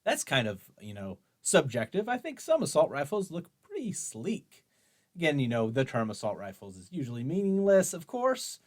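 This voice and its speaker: Higher-pitched man giving opinionated commentary. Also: huge pitch swings, normal speed. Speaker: host of an opinion show. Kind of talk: article read-along with running commentary.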